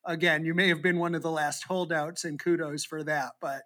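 A man's voice: fairly high pitch, level tone, a little fast, sharp tone. Chatty man asking long questions. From Boston. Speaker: interviewer. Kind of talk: casual interview chat.

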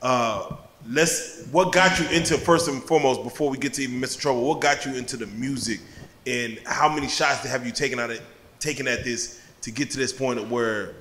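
A man's voice: medium pitch, brisk, fairly bright tone, slight background noise. A man hosting a casual interview, asking long, rambling questions full of fillers. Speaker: podcast host. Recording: long reverb in a room.

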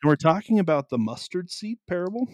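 A man with a deep, carrying voice co-hosting a casual podcast about the Bible, explaining at an easy pace with plenty of ums. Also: very animated, fast, darkish tone, no room sound. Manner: easygoing, explains with many ums. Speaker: podcast co-host.

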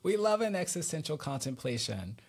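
A man's voice: fairly high pitch, sing-song, normal speed, a crowd in the background. A man chats as the host of a podcast. His delivery is casual and effusive, with informal wording and repeated phrases that underline his points. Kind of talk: casual chat, personal anecdote.

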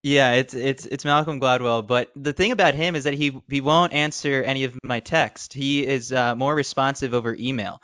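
Smooth-voiced man chatting on a podcast. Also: medium pitch, moderate pace, few pauses, no echo. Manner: relaxed, rambling with many fillers and restarts. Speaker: podcast guest. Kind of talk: casual podcast chat.

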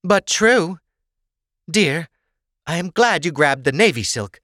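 A worried noble mother in an audiobook tale, played by a man. The matriarch speaks in a raised, lively pitch, loving and coaxing in her manner. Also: slow, dry recording, clean studio sound.

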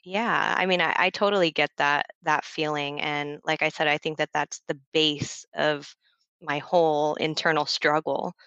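A woman's voice: low-pitched, steady tone, moderate pace, a bit bright, clean tone, no echo, lo-fi sound. An American-born woman co-hosting a podcast, chatty and empathetic, laughing often as she relates. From United States. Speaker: podcast co-host. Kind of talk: casual chat.

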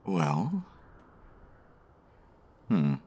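An actor playing a shy, smitten diner customer in a romance audio drama, speaking in a hesitant, bashful way. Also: male voice, low voice, huge pitch swings, drawn-out words, warm tone, breathy edge, full character voice. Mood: shy, nervous.

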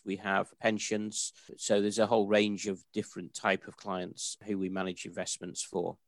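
A man's voice: lowish voice, a bit bright, dry recording. A man, an investment manager, answering an interview question plainly.

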